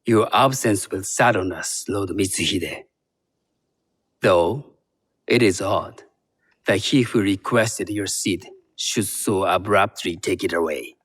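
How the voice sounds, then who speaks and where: An actor playing a composed, shrewd Japanese tea master in a video game, speaking in a measured, formal, guarded way. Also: male voice, deep voice, a bit bright, slightly rough, exaggerated voice. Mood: courteous, guarded. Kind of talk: video game cutscene acting.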